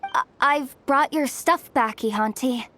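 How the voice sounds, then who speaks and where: A woman's voice: high voice, measured pace, pushed and bright, slight background noise. An actress playing a loyal, kind-hearted, streetwise young woman in a video game, speaking in an earnest, plucky, slightly guarded way. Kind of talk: dramatic video game voice acting.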